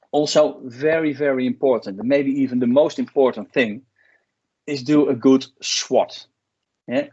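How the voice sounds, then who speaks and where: Man, export coach, mid-pitched voice, muffled video-call sound. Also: dry recording. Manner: plain-spoken, many restarts and fillers. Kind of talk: online workshop talk. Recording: dull lo-fi video call audio.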